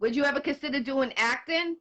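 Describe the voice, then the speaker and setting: A woman's voice: high-pitched, pushed and bright. A woman in her forties hosting a casual interview show, chatty and upbeat. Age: 40s. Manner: chatty, upbeat, quick asides. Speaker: show host.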